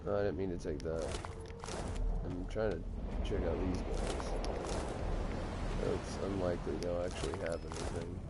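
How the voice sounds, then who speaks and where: A man's voice: low voice, little pitch movement, moderate pace, long pauses, very noisy. A man with a stuffy nose giving casual, snarky commentary while playing a game. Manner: snarky, offhand. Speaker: let's play host. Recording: gameplay with loud game music.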